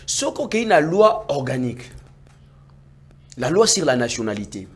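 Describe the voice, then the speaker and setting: A man arguing about politics in a heated debate, emphatic and sometimes nearly shouting. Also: medium pitch, big pitch swings, measured pace, slightly rough. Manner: emphatic, rising to near-shouts when making points. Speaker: political commentator.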